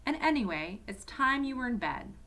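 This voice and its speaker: A woman's voice: a little high, big pitch swings, normal speed, a bit bright, pitched above the performer's own voice, low-quality recording. A woman voicing a sensible, motherly older schoolgirl in a storybook, with a proper, emphatic, chiding manner.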